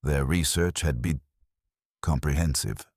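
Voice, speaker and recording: Man playing a weary deep-voiced mayor. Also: unhurried, dry recording, studio quality, heavily acted. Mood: weary, chastened. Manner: formal, evasive, weary. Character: weary, defensive male small-town mayor in mystery story.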